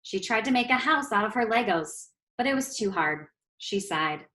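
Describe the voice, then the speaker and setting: A woman reading a children's picture book aloud as a storyteller, expressive and clearly phrased. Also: medium pitch, normal speed.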